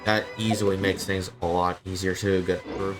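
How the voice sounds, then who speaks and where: Deep voice, streamer, casual gameplay commentary, low-key, thinking aloud, game music behind. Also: flat delivery, moderate pace.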